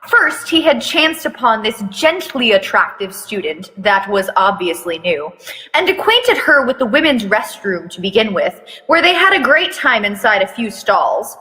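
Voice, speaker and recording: A woman's voice: medium pitch, sing-song, normal speed, sharp tone. A woman giving a mock-dramatic reading of fan fiction, breaking off for blunt, sweary, casual asides. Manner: theatrical reading broken by blunt, sweary commentary. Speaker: fan fiction reader and commentator. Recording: echoey reverberant room.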